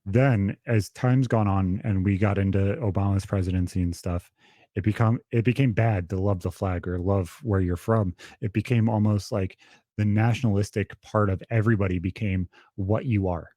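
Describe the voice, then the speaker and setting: A man's voice: lowish voice, fairly even intonation, moderate pace, warm tone, dry recording, clean studio sound. A young man co-hosting a podcast over a remote call, talking bluntly and swearing a lot. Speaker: podcast co-host. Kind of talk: casual political chat over a call. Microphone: remote call with dropouts.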